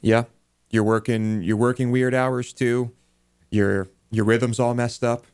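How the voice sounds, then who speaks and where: A man's voice: mid-range voice, little pitch movement, a little slow, a little mellow, clean tone. An American man co-hosting a talk show, chatting casually in a studio. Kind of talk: casual banter. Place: radio and TV studio.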